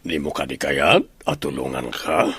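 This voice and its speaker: A man's voice: rumbling low, measured pace, breathy edge, full character voice. A man voicing a domineering, calculating boss with an Ilocano accent, pressuring someone in a radio drama. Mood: coaxing. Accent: Filipino, Ilocano. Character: scheming, domineering male boss pressuring a pregnant maid. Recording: loud music bed behind the voice. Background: loud music.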